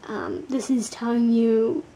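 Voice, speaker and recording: Sleepy gravelly woman doing a tarot reading, blunt and sweary, kinda high voice, soft dark tone. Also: wildly swooping pitch, takes it slowly, slight background noise, rough recording. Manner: blunt, swears casually, lots of fillers. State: tired. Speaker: tarot card reader.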